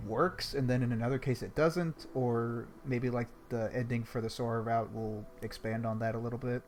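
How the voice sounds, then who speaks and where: Man, casual chat, vivid graphic retelling, mid pitch. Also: steady tone, normal speed. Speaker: podcast co-host. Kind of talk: casual chat recapping a visual novel.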